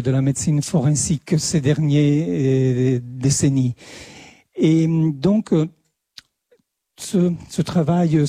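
Halting Italian-accented man, slow and dark. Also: fairly high pitch, husky, no room sound. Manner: halting, many repeated words and restarts. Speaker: lecturer. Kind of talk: conference talk.

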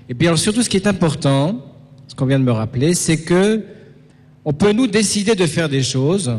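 A man's voice: on the high side, a little mellow. Man preaching in a reverberant hall. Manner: didactic, punctuates points with checking questions. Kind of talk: sermon to a young audience, conversational.

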